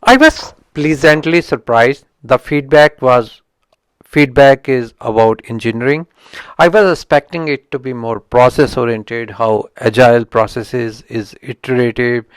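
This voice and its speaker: A man's voice: medium pitch, clear, resonant tone, dry recording. A middle-aged man from India with an Indian accent, who learned English as a second language, hosts an unscripted book review and often restarts his sentences. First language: not English. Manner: halting, with frequent restarts and self-corrections. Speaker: channel host reviewing a book.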